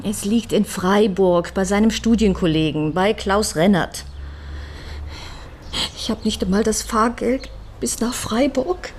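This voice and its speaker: An actress playing an anxious, hesitant but probing new widow in a German radio crime drama. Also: female voice, medium pitch, unhurried, a little mellow, slight background noise, lightly acted. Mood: worried.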